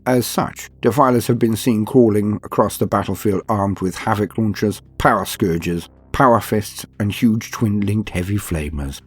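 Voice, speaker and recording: Male narrator for dark war-game lore: husky low voice, solemn and ominous formal storytelling over music. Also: moderate pace, hardly any pauses. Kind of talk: scripted dramatic lore narration.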